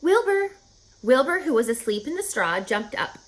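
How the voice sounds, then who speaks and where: A woman reading a children's story aloud as narrator, in an expressive storytelling style. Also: fairly high pitch, moderate pace, low-quality recording. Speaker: audiobook reader.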